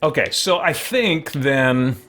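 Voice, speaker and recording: A man's voice: medium pitch, lively intonation, takes it slowly, fairly bright tone. Man casually streaming a game, thinking aloud. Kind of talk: casual live-stream commentary.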